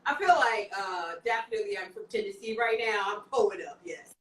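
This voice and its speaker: A woman's voice: medium pitch, expressive, fairly quick, echoey room, distant mic, very noisy. A woman hosting a podcast in casual chat, playful and a little tipsy from wine, slightly slurring. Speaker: podcast host.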